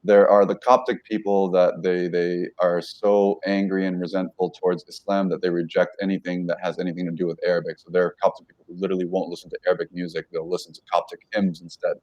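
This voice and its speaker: Deep-voiced man telling his story. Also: level tone, quick pace, few pauses, darkish tone, highs cut off. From United States. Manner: rambling, self-correcting, frequent fillers. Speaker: guest. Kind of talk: casual interview talk.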